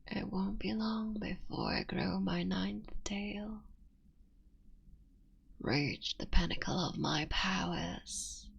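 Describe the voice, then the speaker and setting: A woman voicing a tender, motherly female fox-spirit witch in an ASMR roleplay, speaking softly and often whispering. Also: mid-range voice, takes it slowly, gravelly, husky, dull audio, exaggerated voice. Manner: soft and intimate, often whispering.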